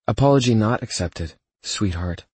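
A man's voice: fairly low pitch, lively intonation, normal speed, a little mellow, slightly rough, no echo, pitched above the performer's own voice. A male audiobook narrator voicing a prickly, defiant woman with icy sarcasm.

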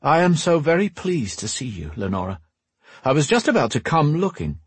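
A man plays a suave male rogue in an audiobook, all polished courtesy and amiable ease. His speech is formal and theatrically polite, recorded dry and close, with a slightly husky edge. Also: fairly high pitch, big pitch swings, moderate pace, dry recording, pitched above the performer's own voice. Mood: smooth, courteous. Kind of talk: audiobook character dialogue.

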